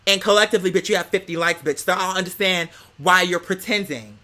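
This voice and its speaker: A man's voice: high-pitched, quick pace, sharp tone. An American man telling a casual story as a rant to viewers, with a sassy, theatrical, slang-heavy way of talking. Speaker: vlogger.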